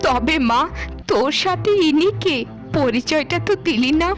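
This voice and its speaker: A woman's voice: sits high, lively intonation, a little slow, soft background music. A woman voicing a remorseful older mother reduced to begging, lamenting and pleading in a cartoon melodrama. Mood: sorrowful. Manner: lamenting, pleading, repeats phrases. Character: remorseful ruined older mother, now a beggar.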